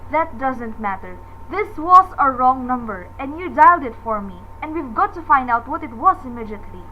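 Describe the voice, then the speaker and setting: Woman playing a shrill, nervous, demanding housewife. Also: lively intonation, moderate pace, bright, forceful tone, a little hiss or hum, rough recording. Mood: agitated, indignant. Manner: agitated, imperious, melodramatic. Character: frail, nervous, demanding housewife alone at night. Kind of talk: radio drama acting.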